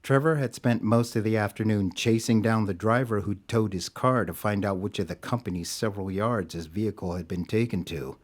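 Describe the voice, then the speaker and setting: A male narrator for an audio drama, reading scripted prose. His voice sits on the low side, and he tells the story in a measured, literary way.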